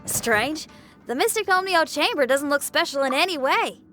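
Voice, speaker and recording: A woman's voice: sits high, animated, moderate pace, a bit bright, faint music behind. A woman playing a spirited young rock musician in a video game, friendly, upbeat and plain-spoken in a folksy way. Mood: curious. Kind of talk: game cutscene acting.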